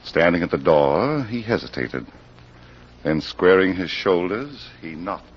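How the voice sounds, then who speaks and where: An actor playing an ominous crime club librarian who narrates in a theatrical, suspenseful 1940s radio mystery style. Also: male voice, sits low, lively intonation. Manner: theatrical, suspenseful, deliberate phrasing. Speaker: host and narrator. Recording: muffled, very noisy old radio recording.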